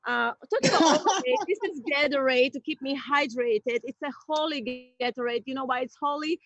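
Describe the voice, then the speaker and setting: A woman from Croatia doing a playful, chatty stand-up comedy set, with bursts of excitement. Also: high voice, fairly quick. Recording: dull lo-fi stream audio.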